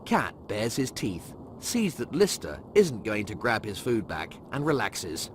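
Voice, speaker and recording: A man's voice: lowish voice, huge pitch swings, measured pace, slight background noise. A man narrating a scripted comic audiobook, reading scenes and stage directions.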